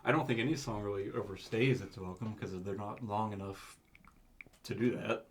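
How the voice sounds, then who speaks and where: A man's voice: fairly low pitch, normal speed, slight background noise. A man co-hosting an album review podcast, rambling casually with lots of fillers and frequent chuckles. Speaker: podcast co-host.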